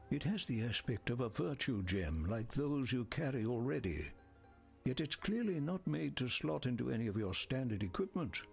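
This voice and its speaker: A man voicing a grave, learned advisor in a video game, wary and wistful, with a husky, gravelly voice and measured, eloquent delivery. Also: medium pitch. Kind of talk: scripted game dialogue.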